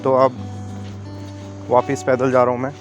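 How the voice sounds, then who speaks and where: Chatty travel vlogger from India. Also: male voice, mid-range voice, completely flat delivery, measured pace, highs cut off, music in the background. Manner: chatty, talks directly to viewers. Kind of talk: casual travel vlog narration. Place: outdoors on a mountain trail.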